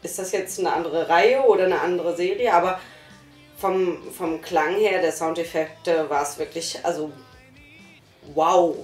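A woman's voice: lowish voice, a little slow. A woman reviewing in a casual couch chat, talking with enthusiasm. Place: small living room, on a couch. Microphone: far camera mic. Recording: roomy, distant mic, faint music.

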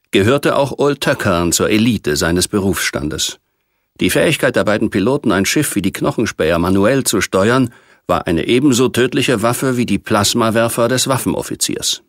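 Male audiobook reader, low and creaky, measured science fiction narration. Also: fairly bright tone, dry recording, clean studio sound.

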